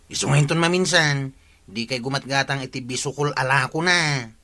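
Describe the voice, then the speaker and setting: An actor playing a complaining, tattling nephew who speaks emphatically in a comedic radio drama. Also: male voice, mid-range voice, a little fast, sharp tone, lightly acted. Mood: complaining, urging.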